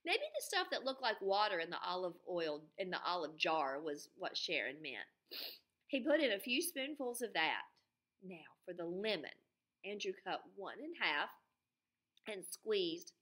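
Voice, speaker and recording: Bright-voiced woman teacher reading aloud. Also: on the low side, unhurried. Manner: animated, emphatic, drawing out key words. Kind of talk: expressive children's read-aloud with questions to pupils.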